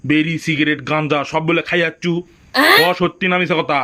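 A man's voice: on the high side, level tone, a little fast, sharp tone. A man voicing a hot-tempered, scolding father in a comic cartoon, with a northern Bangladeshi accent. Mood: furious. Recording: faintly noisy cartoon soundtrack.

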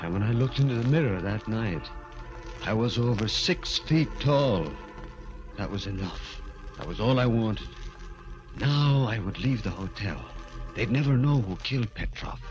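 An actor playing a desperate former dwarf turned killer in an intense 1940s radio thriller. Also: male voice, fairly low pitch, animated, unhurried, lo-fi sound, over music. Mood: haunted narration. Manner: intense, dramatic. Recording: old 1940s radio recording, faint noise.

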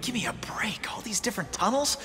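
A man's voice: high-pitched, very animated, whispered. An actor playing a young male superhero in a video game, wisecracking and earnest, with a sarcastic streak. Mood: joking. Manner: sarcastic, quippy, halting when flustered. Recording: reverberant, with music behind.

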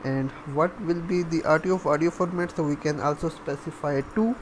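A man giving a step-by-step coding tutorial, halting as he thinks aloud while typing. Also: on the high side. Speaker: programming tutorial instructor. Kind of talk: step-by-step coding screencast narration. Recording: faint background noise.

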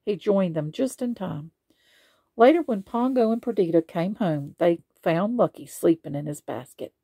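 A woman reading children's bedtime stories aloud in an expressive way. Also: on the low side, normal speed, creaky, no echo, clean studio sound. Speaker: storybook reader.

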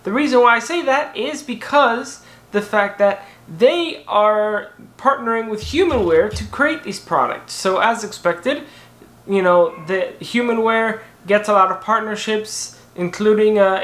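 A man's voice: sits high, a little slow, a bit bright. A young man rambling casually through a tech recap on his channel, correcting himself often and using lots of fillers. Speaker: tech vlogger. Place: indoor room in front of a banner. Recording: echoey room.

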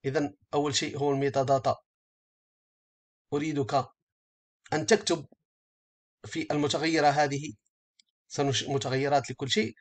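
A man giving a step-by-step software tutorial as an instructor, speaking haltingly and often rephrasing as he explains. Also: on the high side, level tone.

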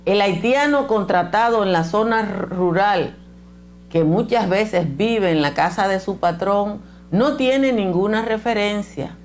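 A woman's voice: medium pitch, darkish tone, slight background noise. An older woman from the Dominican Republic with a Dominican Spanish accent, delivering blunt, emphatic opinion commentary to camera on a lapel mic in a small studio. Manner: emphatic and blunt, gesturing with her hands. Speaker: political commentator. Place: small indoor studio set with bookshelves.

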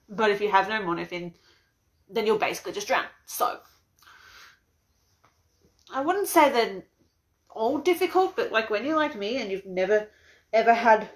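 A woman's voice: medium pitch, a bit bright. Giggly young Australian woman. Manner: chatty, giggly, rambling with frequent laughs. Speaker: vlogger. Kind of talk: casual live-stream unboxing. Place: small cluttered bedroom, indoors. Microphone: phone camera propped on a mug.

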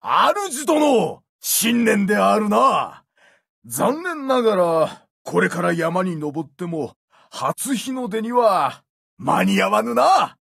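A man's voice: high voice, sing-song, slow pace, gravelly, fairly bright tone, husky, no echo, clean studio sound, full character voice. A man voicing a formal, old-fashioned warrior in a Japanese game, addressing a master. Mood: stately regret. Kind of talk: Japanese game character voice lines.

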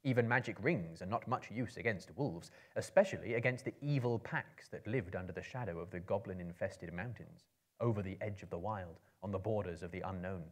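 Man in his thirties, storyteller, lowish husky voice, theatrical, measured phrasing, fantasy narration. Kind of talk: dramatic reading of a fantasy novel to a live audience. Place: book-lined room with a live audience.

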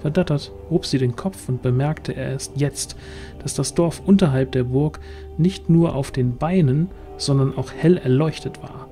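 A man's voice: mid-range voice, measured pace, dark tone. A man reading scripted narration as the storyteller of a fantasy audio drama. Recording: music underneath.

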